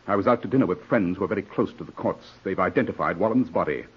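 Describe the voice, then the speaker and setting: An actor playing a composed, authoritative gentleman in a 1940s radio drama, husky-voiced, measured and questioning. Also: low-pitched. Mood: grave, controlled. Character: composed, commanding English gentleman, brisk and probing. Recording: muffled vintage radio recording.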